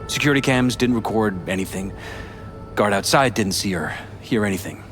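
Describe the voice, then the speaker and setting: An actor playing a polished but troubled middle-aged politician in a video game, speaking in a measured, courteous, earnest way. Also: male voice, on the low side, animated, a bit bright. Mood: puzzled, uneasy. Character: polished, troubled male politician confiding in an investigator. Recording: music behind.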